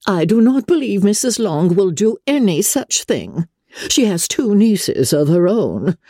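A woman voicing an excitable, querulous middle-aged mother in an audiobook of a classic novel, shrill, gushing and complaining. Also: measured pace, no room sound, studio quality. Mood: resentful, scolding.

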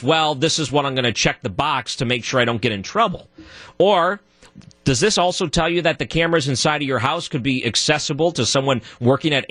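Deep-voiced man hosting talk radio. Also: dry recording. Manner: animated, voice jumps high when worked up. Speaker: radio talk show guest host. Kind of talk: solo talk radio monologue.

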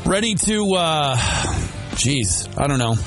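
A man's voice: fairly high pitch, sing-song, slow pace, music in the background. An American man hosting a morning radio show with casual, folksy banter, playfully repeating himself for emphasis. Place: radio studio.

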